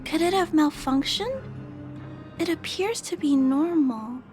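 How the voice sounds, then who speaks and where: A woman voicing a gentle, earnest young girl in a video game, polite and resolute. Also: high voice, lively intonation, a little slow, faint music behind. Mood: earnest, concerned.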